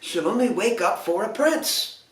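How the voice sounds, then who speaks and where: A man doing shrill, scolding little fairies. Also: animated, unhurried, a bit bright. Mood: impatient. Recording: roomy echo, distant mic.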